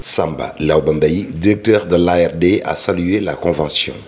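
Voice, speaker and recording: A man's voice: deep voice, moderate pace. A man reading scripted news narration as a reporter.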